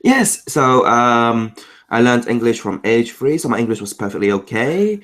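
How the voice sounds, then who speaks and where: A man from Macau with Cantonese as his first language, speaking English as an interview guest. His voice sits at an ordinary male pitch, and he explains things in quick bursts, rattling off lists as he goes. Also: animated, fairly bright tone. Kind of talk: casual conversational interview.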